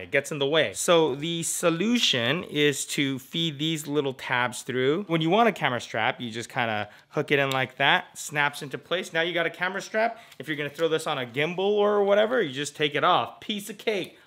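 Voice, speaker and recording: An American man chatting casually as a vlogger, in an informal, animated and jokey way. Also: a little high. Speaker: vlogger, host. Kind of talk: casual vlog chat.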